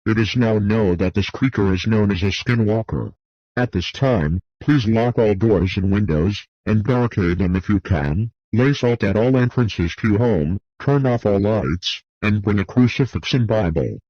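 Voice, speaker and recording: Low-voiced man reading an emergency alert. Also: moderate pace, no echo, lo-fi sound. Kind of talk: scripted emergency broadcast announcement.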